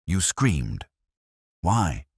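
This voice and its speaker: A man's voice: low-pitched, sing-song, extremely slow, husky, no echo, exaggerated voice. A man voicing a precise, commanding male detective with dry wit and a clipped manner in an audiobook. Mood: dry, probing. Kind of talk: audiobook character dialogue.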